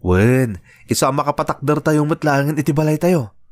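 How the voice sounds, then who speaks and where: A man's voice: fairly high pitch, normal speed, no echo, lightly acted. Young Ilocano husband, brusque played by a man. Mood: upbeat, tender. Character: young provincial husband, fond but quick-tempered and brusque. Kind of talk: Ilocano radio drama acting.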